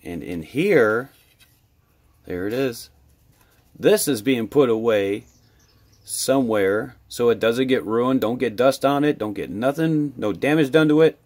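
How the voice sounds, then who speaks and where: A man with an American accent talks casually to his viewers as a hobby vlogger. His voice is husky and mid-pitched, with lots of rise and fall. He comes across as enthusiastic and appreciative. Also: animated, unhurried, darkish tone. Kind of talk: casual chat to camera while opening mail.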